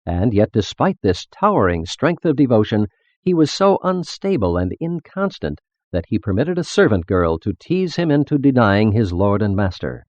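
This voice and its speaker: A man reading a religious text as scripted narration, in a measured, formal, expository manner. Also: mid-range voice, darkish tone, no room sound, lo-fi sound.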